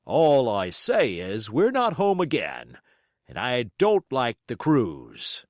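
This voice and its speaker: A man voicing a stern, grudging sea captain for an audiobook, blunt, sharp and emphatic. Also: medium pitch, huge pitch swings, slow pace, dry recording, clean studio sound. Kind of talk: audiobook character voice.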